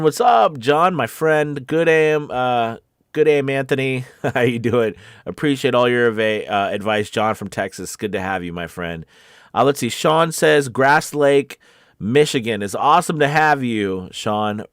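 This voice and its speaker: A man's voice: medium pitch, no room sound. Sniffly, encouraging male voice coach, dry sound. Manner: encouraging, conversational, often checks in with "okay" and "right". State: sniffly with a cold or weather sniffles. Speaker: voice-over coach and live stream host. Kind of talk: casual live coaching talk.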